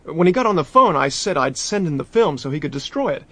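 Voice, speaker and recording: A brash young diplomat. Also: male voice, a little high, fast, no room sound, full character voice. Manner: brash, slangy, excitable. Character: young American man, brash diplomat nephew. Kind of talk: radio drama acting.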